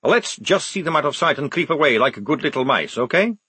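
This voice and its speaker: A man's voice: a little high, big pitch swings, fairly quick, pushed and bright, slightly rough, no echo, pitched above the performer's own voice, full character voice. A man voicing an edgy young vampire soldier with a wry, jittery manner in an audiobook. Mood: cautious.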